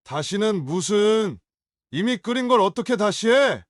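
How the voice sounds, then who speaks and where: An actor playing a drunk, contemptuous adult son in an audio drama, talking in curt, scornful demands. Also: male voice, high voice, expressive, measured pace, no echo, studio quality.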